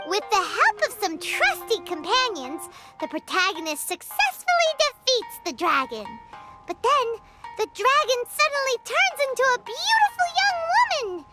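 A tiny, excitable game fairy, with an extremely high, childlike squeak. She chirps in a lively, swinging melody over loud music. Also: full character voice. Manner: excitable, speaks of self in third person. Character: small chirpy childlike female floating fairy companion. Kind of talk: video game character acting.

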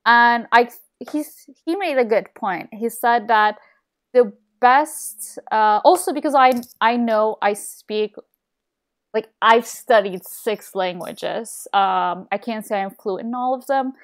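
A woman's voice: a little high, takes it slowly. A young woman from Turkey chatting casually while streaming a game, rambling with lots of fillers and restarts, recorded at a desk mic in a small room at home. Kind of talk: casual chat while gaming.

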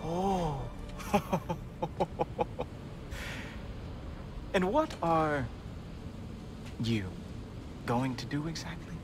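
An actor playing a condescending, cruelly taunting godly bully in a video game, with a theatrical, mocking, drawn-out delivery. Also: male voice, on the high side, expressive, noisy background. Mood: menacing, mocking. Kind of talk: video game cutscene acting.

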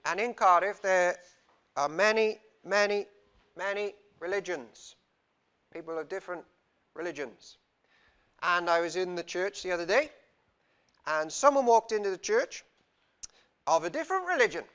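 High-voiced young man preaching casually. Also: normal speed, pauses often, fairly bright tone, clear, resonant tone. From Wales. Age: young adult. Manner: chatty, self-deprecating, storytelling; gestures freely while talking. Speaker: visiting preacher. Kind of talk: informal sermon. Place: small church hall, indoors. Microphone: lapel mic.

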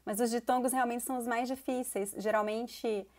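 This is a Brazilian woman in her thirties who teaches Portuguese, chatting as a guest on a podcast. She is enthusiastic and laughs easily. Her voice is a little high for a woman. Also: fairly even intonation, moderate pace. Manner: warm and enthusiastic, laughs often. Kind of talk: casual interview conversation.

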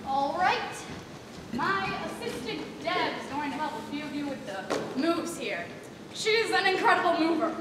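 A woman's voice: high-pitched, big pitch swings, moderate pace. A young woman on stage in a school play, playing a bossy, power-drunk teenage stage manager, domineering, curt and sarcastic. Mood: self-important. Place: theatre stage, filmed from the audience. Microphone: far camera. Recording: distant, echoey, noisy theatre hall recording.

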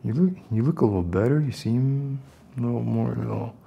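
A middle-aged man acting an unstable, falsely gentle survivalist in a soft-spoken ASMR roleplay, rambling haltingly. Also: medium pitch, gravelly, husky, lo-fi sound. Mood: tender, earnest. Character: unstable, falsely gentle self-appointed protector, survivalist loner.

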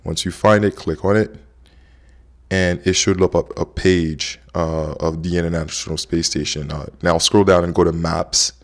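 A man's voice: low voice, steady tone, moderate pace. A man in his thirties from Haiti, hosting a casual explainer vlog in a conversational way. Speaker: host, app co-founder.

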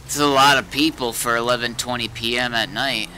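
Very bright, sharp-voiced man gaming. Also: mid-range voice, steady tone, brisk, a little hiss or hum, low-quality recording. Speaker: roleplay gamer. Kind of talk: casual in-game chat.

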